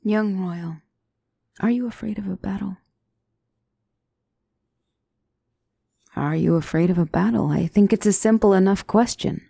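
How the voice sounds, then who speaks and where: A woman playing a gentle demonic mentor in an ASMR fantasy roleplay, speaking softly with formal, measured, reassuring diction and often near a whisper. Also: mid-range voice, creaky, husky, dry recording, clean studio sound. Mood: probing, teacherly. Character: gentle demonic tutor, female mentor to a young royal.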